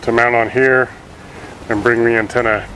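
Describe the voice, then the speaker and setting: A man hosting a how-to video, casually talking through his work step by step with relaxed fillers. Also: medium pitch, moderate pace, a little hiss or hum, poor audio. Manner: relaxed, thinking aloud with fillers. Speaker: vlog host.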